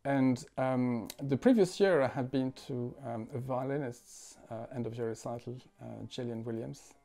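A man in his forties from France, a musician talking thoughtfully at home, with many pauses, fillers and restarts. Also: medium pitch, gravelly, mellow. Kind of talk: reflective interview talk. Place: living room at home.

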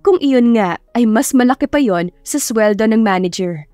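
A Filipino woman narrates a dramatic audiobook in a fairly high voice. The sound is dry, with faint music underneath. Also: animated, moderate pace, mellow, no room sound. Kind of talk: scripted dramatic storytelling.

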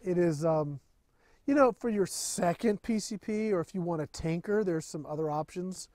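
A middle-aged man with a high voice chats loosely through a live stream from an open tent at an outdoor range. He passes on viewer questions in a relaxed, easygoing way, and his voice sounds dry and close. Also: moderate pace, no room sound. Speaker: livestream host. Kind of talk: casual live product chat.

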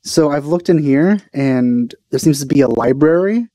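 A man's voice: fairly high pitch, animated, moderate pace, mellow, no echo. A man giving casual commentary as he plays a video game. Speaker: let's player. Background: game music.

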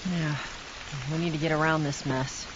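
A woman's voice: low-pitched, steady tone, moderate pace, lo-fi sound, close to an everyday voice. A woman playing a tough, determined smuggler in a video game, firm and commanding, with an American accent. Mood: focused. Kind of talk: video game cutscene acting. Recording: heavy rain noise behind.

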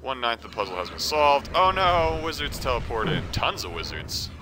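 A man's voice: medium pitch, sing-song, moderate pace. A congested young man giving casual, joking commentary while he plays a video game, talking to himself as he goes. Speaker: let's play commentator. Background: loud game music.

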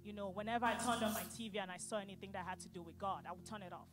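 A young woman from Maryland giving a personal testimony into a handheld mic among a church congregation, her quiet talk rising to loud declaring. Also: mid-range voice, fairly even intonation, racing. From Maryland, United States. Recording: echoey hall with loud music.

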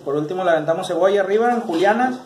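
A man in his thirties with a Mexican accent, hosting a casual cooking tutorial in a small home kitchen. He talks straight to the camera, relaxed, while he chops and stirs. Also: high voice, racing. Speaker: cooking channel host. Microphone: camera mic, medium distance. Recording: echoey kitchen with faint noise.

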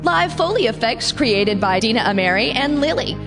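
A woman's voice: fairly high pitch, very animated, moderate pace, over music. A woman announcing the credits of a radio drama in a playful, theatrical way. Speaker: show announcer.

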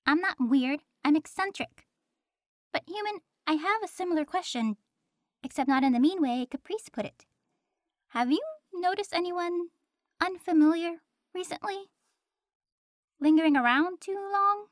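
A woman's voice: extremely high pitch, moderate pace, pauses often, dry recording, clean studio sound. A woman playing an earnest, prim angel who gets a little flustered, in an audio roleplay. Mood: caring, earnest.

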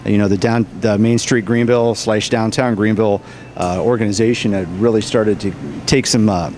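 A man's voice: fairly low pitch, fairly even intonation, normal speed, darkish tone. An American man in his forties, a cafe owner explaining his business casually in an interview. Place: indoors in a cafe, behind the counter. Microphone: interviewer's handheld microphone. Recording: clear background noise on location.

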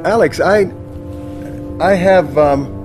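An urgent, indignant middle-aged American president in a game cutscene. He is blunt and exasperated, slightly high in pitch, with clear music behind him. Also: takes it slowly. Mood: outraged. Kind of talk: video game cutscene acting.

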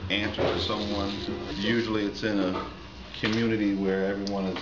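Male shop owner, low voice, casual interview delivery, dulled sound with loud music. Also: level tone, moderate pace. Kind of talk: interview answers, casual and reflective. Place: inside a busy barber shop. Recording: echoey, dull audio under loud music.

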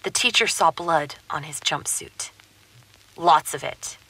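An actress playing a shaken witness in an audio drama, recounting events in short, halting phrases. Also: female voice, sits low, bright, forceful tone, heavily acted. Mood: uneasy recounting. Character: shaken woman witness recounting what she saw.

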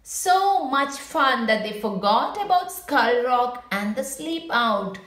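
Slow, high-voiced woman reading a storybook. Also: echoey room. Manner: expressive, unhurried picture-book delivery. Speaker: children's storybook reader. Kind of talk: read-aloud storytelling for children with brief asides.

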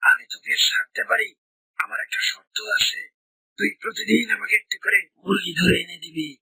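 A performer voicing a hungry, bullying tiger in a children's cartoon, scolding and menacing in a breathy, rasping voice. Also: medium pitch, steady tone, normal speed, pushed and bright, dry recording, exaggerated voice, rough recording.

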